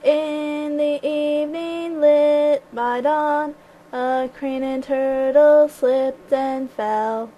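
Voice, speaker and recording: A woman's voice: sits high, fairly even intonation, extremely slow, dull audio, soft background music. A woman voicing a friendly, cheerful elementary-age boy in an amateur fandub, playful and teasing with a sing-song chant.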